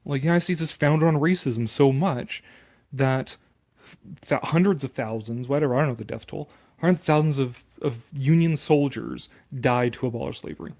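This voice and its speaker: A man's voice: medium pitch, moderate pace, a little mellow. A Canadian man giving casual, opinionated commentary on an article he reads aloud, sarcastic, exasperated and given to swearing.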